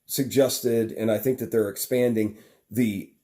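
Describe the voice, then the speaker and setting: A man's voice: fairly low pitch, fairly even intonation, measured pace, dark tone. A man giving an earnest, rambling political monologue with many restarts.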